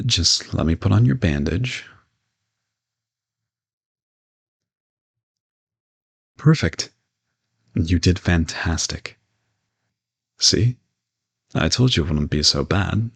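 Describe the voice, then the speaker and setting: A man playing a gentle, courteous old vampire in an intimate audio roleplay, reassuring and lightly teasing as he speaks to the listener. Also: sits low, vocal fry, breathy edge, full character voice. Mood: warm, encouraging. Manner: soft-spoken reassurance, politely formal with teasing asides.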